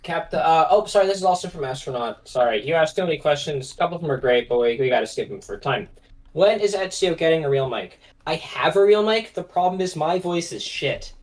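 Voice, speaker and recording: A man's voice: on the high side, lively intonation, a little fast. A man co-hosting a casual Q&A, joking and self-deprecating as he reads out questions, on a distant mic with noise suppression. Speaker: co-host. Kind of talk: casual chat. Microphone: mic set far away, noise-suppressed.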